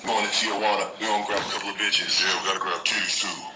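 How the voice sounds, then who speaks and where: A man's voice: on the low side, fairly even intonation, fast, very noisy. A man doing crude comic banter.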